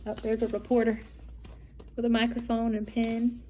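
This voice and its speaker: A woman with a somewhat high voice speaks as a teacher during a read-aloud. She talks to young listeners in simple words, moving between storytelling and explanation. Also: monotone, moderate pace, dark tone, a little hiss or hum, poor audio.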